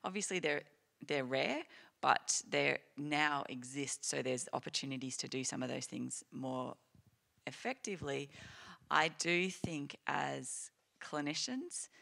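Aussie woman on a panel, thinking out loud, bit of fry in her voice. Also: a bass voice, animated, unhurried. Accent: Australian. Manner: earnest, reflective, with fillers and restarts. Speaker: panelist, clinician-scientist. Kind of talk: panel discussion answers. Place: lecture hall stage.

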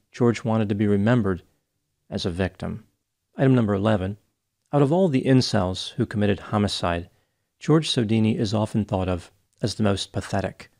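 A man in his thirties giving a scripted, clinical case analysis in a measured, even delivery, close to a studio microphone. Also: on the low side, vocal fry. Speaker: psychology educator. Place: small home studio, indoors. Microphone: close studio mic on boom arm.

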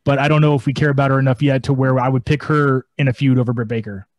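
A man co-hosting a wrestling podcast over a video call, talking excitably and swearing freely. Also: medium pitch, fast, no echo. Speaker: podcast co-host. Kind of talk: casual wrestling chat.

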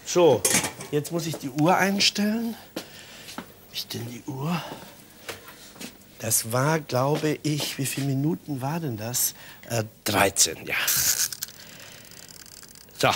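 A man's voice: mid-range voice, expressive, slight background noise. An older man hosting a cooking show, chatting casually and eagerly with his guest while he cooks in a studio kitchen. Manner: chatty, enthusiastic, quick interjections.

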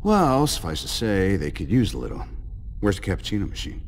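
Sardonic genius superhero for a game; very deep, lively intonation, dry wit. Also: male voice, brisk, in a large hall, slight background noise. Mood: flippant, defiant. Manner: flippant, dry. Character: cocky, sardonic billionaire inventor superhero. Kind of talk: video game voice acting.

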